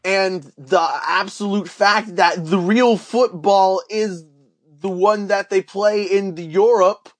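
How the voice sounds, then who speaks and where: A man acting as a naive Canadian oddball on a comedy podcast. His voice sits high and he takes his time, rambling in a folksy, earnest way with a put-on Canadian accent. The sound is very dry. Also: steady tone, slow pace, no echo. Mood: insistent. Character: dim, earnest Canadian man with absurd opinions. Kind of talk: comedy podcast character banter.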